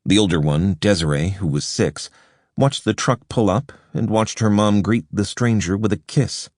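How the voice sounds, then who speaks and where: A man narrating a nonfiction audiobook in a measured, even storytelling delivery, recorded close in a studio. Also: fairly low pitch, dry recording. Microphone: close studio microphone.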